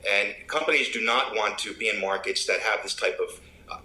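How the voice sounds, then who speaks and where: A man speaks as a business expert in a news interview, his low voice very bright and forceful. He is a little halting, and the room echoes around him. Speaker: business site recruiter, interviewee.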